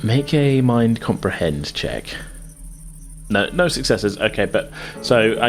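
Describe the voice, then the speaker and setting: Casting: male game master, deep voice, halting improvised scene description, faint music underneath. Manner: halting, circling back over phrases. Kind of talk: improvised tabletop game narration.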